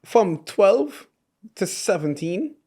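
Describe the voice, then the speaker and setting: A man hosting a casual podcast, his voice sitting somewhat high for a man. He keeps the talk moving with easy questions and quick affirmations. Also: very animated, dark tone, breathy edge. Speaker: podcast host. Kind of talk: casual interview chat.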